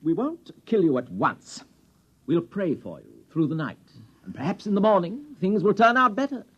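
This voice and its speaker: A stuffy, murderously polite alien elder from a vintage radio comedy. The voice is high and husky and swings widely in pitch. The old recording sounds dull. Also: male voice, sing-song, normal speed, heavily acted. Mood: stuffy, polite menace. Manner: stuffy British gentleman phrasing, pompous. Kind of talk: 1950s radio comedy acting.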